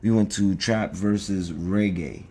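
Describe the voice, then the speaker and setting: A man's voice: low-pitched, a little slow, a little mellow. A man co-hosting a podcast in casual chat, rambling and joking with lots of fillers. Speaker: podcast co-host.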